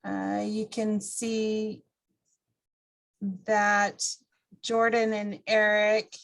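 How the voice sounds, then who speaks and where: A woman's voice: medium pitch, monotone, very slow, creaky. A woman instructor talking informally to a group, explaining things in an encouraging way. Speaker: class instructor.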